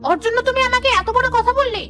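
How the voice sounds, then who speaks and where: Cartoon villainess: woman as a nagging stepmother, high and fast. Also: soft background music. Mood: scolding. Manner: sharp, sarcastic, scolding. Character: harsh scolding stepmother, middle-aged woman. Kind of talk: cartoon dubbing.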